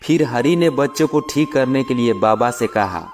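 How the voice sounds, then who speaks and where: Male storyteller over soft music. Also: mid-range voice, expressive, normal speed. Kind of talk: scripted narration of children's moral tales. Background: background music.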